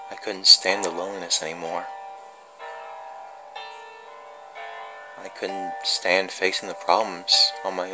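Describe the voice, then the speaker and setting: A man's voice: low-pitched, close to an everyday voice. A man playing a melancholy, tormented dead puppeteer in a creepy horror story reading, with brooding theatrical delivery. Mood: despairing. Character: creepy undead puppeteer, melancholy tormented young man's ghost. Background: music.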